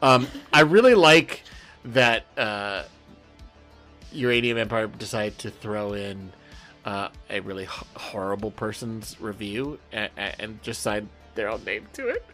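A man's voice: lowish voice, unhurried, sharp tone, faint music behind. A male podcast co-host chatting casually, enthusiastic and rambling, swearing freely. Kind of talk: casual book-club style chat.

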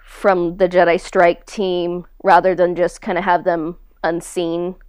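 A woman's voice: lowish voice, little pitch movement, unhurried, darkish tone, clear, resonant tone, no room sound, clean studio sound. A woman speaking in a casual interview, informal and hesitant, with a self-deprecating manner. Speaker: podcast host and audio drama writer being interviewed.

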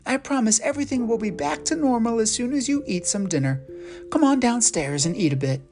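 A woman playing a gentle storybook mom. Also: high-pitched, expressive, normal speed, soft background music, pitched above the performer's own voice. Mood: coaxing. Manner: soft, coaxing, apologetic. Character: gentle, patient young mother. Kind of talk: storybook character voice.

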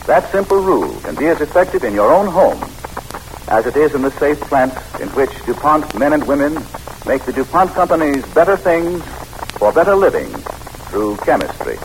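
A man with an American accent hosting and announcing on 1940s radio in a measured, emphatic, instructive scripted style. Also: on the high side, sing-song. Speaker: radio announcer and program host. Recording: noisy vintage 1940s radio broadcast.

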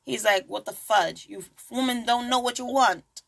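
A woman's voice: on the high side, normal speed, vocal fry, a bit bright. A woman hosting a true crime podcast in a casual, chatty way, full of asides and jokes. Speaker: podcast host.

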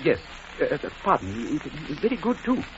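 An actor playing a shy, fussy, old-fashioned schoolmaster who hesitates and stammers, in an old-time radio drama. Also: male voice, on the high side, huge pitch swings, fairly quick, a bit bright, a little hiss or hum. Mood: flustered, awkward. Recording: dull old radio recording.

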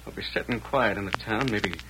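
An actor playing a bitter, desperate young cowboy with an American Western drawl and folksy slang in a radio western. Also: male voice, fairly low pitch, fairly quick, close to an everyday voice. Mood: bitter, resigned. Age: young adult. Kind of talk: 1940s radio western acting. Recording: noisy vintage radio recording.

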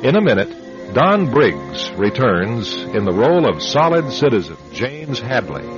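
A man's voice: medium pitch, wildly swooping pitch, a little slow. A man announcing and narrating a radio suspense drama in a solemn, formal, scripted style. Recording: dull lo-fi radio, music behind.

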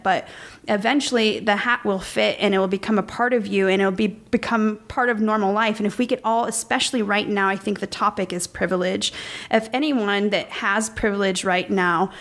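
A woman's voice: medium pitch, fairly bright tone. A middle-aged woman chatting on a podcast, telling a personal story in an earnest, rambling way with many restarts and fillers. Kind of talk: casual conversational storytelling.

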